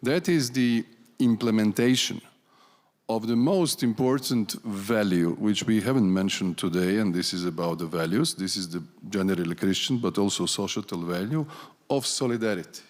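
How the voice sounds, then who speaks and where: A man speaking as a conference panelist, talking deliberately and often restarting his phrases. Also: fairly low pitch. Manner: deliberate, with frequent restarts and fillers. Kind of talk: panel discussion remarks. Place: large conference hall. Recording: long reverb of a large hall.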